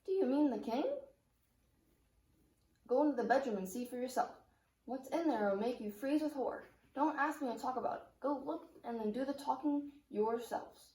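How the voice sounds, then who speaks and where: An earnest nobleman voiced by a woman declaims urgently in a puppet play. The voice is high and rings in an echoey room. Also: moderate pace, a little mellow, lightly acted. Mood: horrified, urgent. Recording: roomy, echoing room sound.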